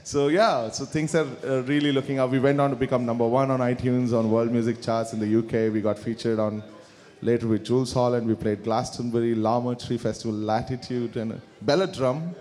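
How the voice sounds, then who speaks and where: A man in his thirties with an Indian English accent speaks as a guest musician, his voice mellow and dark. He talks haltingly, with restarts and fillers. A long reverb trails each phrase in the large space. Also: mid-range voice, fairly even intonation. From South India. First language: Kannada. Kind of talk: interview answers. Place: large store event space.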